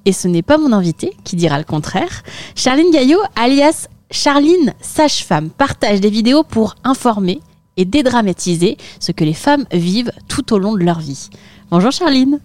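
A woman hosting a podcast, reading a scripted intro and then asking relaxed interview questions. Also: on the high side, normal speed. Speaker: podcast host.

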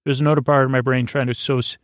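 A man hosting a sleep podcast with a goofy, rambling bedtime monologue, haltingly trailing off and doubling back. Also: mid-range voice, little pitch movement, no room sound, clean studio sound. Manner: whimsical, goofy.

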